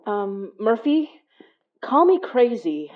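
Woman acting as nervous young woman in a horror podcast, halting, higher voice, kinda dull audio. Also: big pitch swings. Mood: wary. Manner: hesitant, halting. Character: anxious young woman investigating eerie things, hesitant. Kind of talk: naturalistic audio drama acting.

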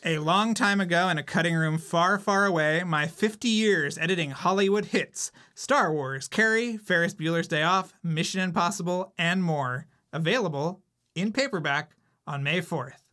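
A man's voice: high-pitched, moderate pace, pushed and bright. A man co-hosting a podcast with playful, wry casual banter. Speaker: podcast co-host.

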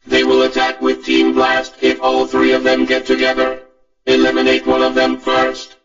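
A relentless heavy battle robot for a video game, speaking in clipped, commanding machine phrases. The processed robotic voice is very gravelly and echoey, and the sound is dulled. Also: male voice, very high-pitched, very animated, normal speed, highs cut off, exaggerated voice. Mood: mechanical, matter-of-fact. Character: blunt, relentless heavy combat robot out to destroy. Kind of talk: video game character lines. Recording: band-limited game clip over loud music.

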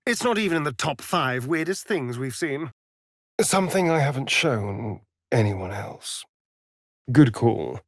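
A man's voice: mid-range voice, expressive, measured pace, no room sound, studio quality. A male actor voicing a sly, vain vampire rogue in a fantasy video game, with an arch, flamboyant, sardonic drawl. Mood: wry, curious.

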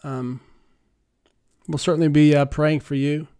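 A middle-aged man hosting a prayer livestream from his living room, speaking softly and earnestly into a studio mic, halting with many fillers. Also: a little high, level tone, clear, resonant tone. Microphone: large studio mic on arm.

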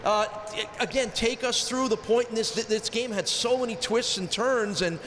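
High-voiced middle-aged male sports host. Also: moderate pace, noisy background. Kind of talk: post-game interview and commentary. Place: courtside in a large basketball arena. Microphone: handheld broadcast microphone. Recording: echoey arena, music behind.

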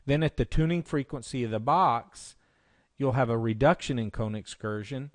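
Man narrating a dry, close software tutorial. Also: mid-range voice, moderate pace, no room sound. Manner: clear step-by-step explaining. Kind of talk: instructional screencast narration.

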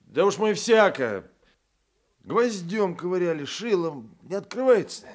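An actor playing a boastful, sly Cossack constable in a radio play, spinning yarns in rustic colloquial speech. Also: male voice, high-pitched, big pitch swings, a little slow. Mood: sly, jaunty.

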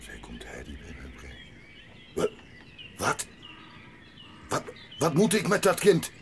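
An actor playing a gruff, grumpy old mountain grandfather in a Dutch audio drama, barking and muttering to himself. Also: medium pitch, wildly swooping pitch, measured pace, a little hiss or hum.

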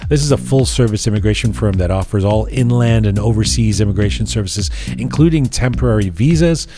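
A man's voice: fairly low pitch, a little fast. A man hosting in a studio, with a wry, teasing manner. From Canada. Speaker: show host. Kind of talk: casual, joking studio chat.

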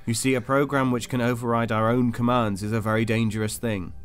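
An actor playing a calm, clinical and controlling interrogator in an audio drama, speaking in a measured, patronising way. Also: male voice, medium pitch, clear, resonant tone, soft background music, lightly acted. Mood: cold, calm.

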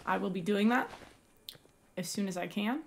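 Raspy young woman gaming. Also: medium pitch, normal speed, a little hiss or hum. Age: young adult. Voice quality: raspy from yelling. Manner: excitable, exclaiming at finds. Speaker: gaming YouTuber. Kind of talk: let's play commentary.

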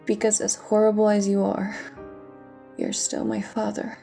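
A woman plays a weary but tender pirate captain in an audio roleplay. She speaks slowly and softly, often near a whisper, with a mellow, creaky voice. Her delivery is hushed and full of feeling. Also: medium pitch, completely flat delivery. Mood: solemn, forgiving. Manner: hushed, slow, emotional delivery. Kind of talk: intimate audio roleplay acting. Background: faint music.

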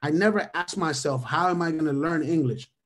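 A man's voice: fairly high pitch, brisk. A man in his thirties, a native Spanish speaker, mentors his audience over a video call in a slightly raised voice. He repeats his main points and punctuates nearly every thought with a questioning "right?". The sound is webcam-call audio from a small room. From Venezuela. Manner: repeats key phrases for emphasis. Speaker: mentor presenting a webinar. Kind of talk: motivational webinar talk. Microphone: webcam or headset on a video call.

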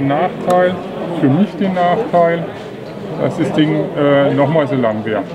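A man's voice: a little high, measured pace, muffled recording. A man at a model railway exhibition, patiently explaining his layout in casual chat. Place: busy exhibition hall. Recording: loud crowd noise around. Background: crowd chatter.

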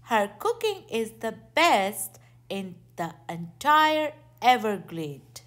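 A woman narrating a children's picture book aloud in a deliberate, expressive way. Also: fairly high pitch. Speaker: children's storybook reader.

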